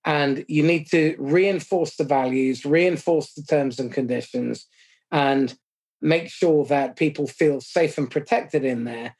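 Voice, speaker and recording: Husky-voiced male podcast host. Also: fairly high pitch, darkish tone. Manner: measured, recapping in lists, self-deprecating. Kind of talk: conversational podcast interview.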